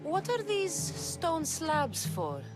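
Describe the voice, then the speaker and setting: A woman's voice: very high-pitched, wildly swooping pitch, very slow, exaggerated voice. An actress playing a determined young Greek warrior woman in a video game, blunt, impatient and dryly wry. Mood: searching, earnest. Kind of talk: video game character dialogue. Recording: music under the dialogue.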